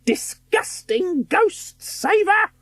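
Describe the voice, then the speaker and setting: A man voicing a ferocious female ghost hunter with an icy, shrieking manner, shouting from a distance. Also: very high voice, very animated, very slow, no echo, clean studio sound, pitched above the performer's own voice, full character voice. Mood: sneering.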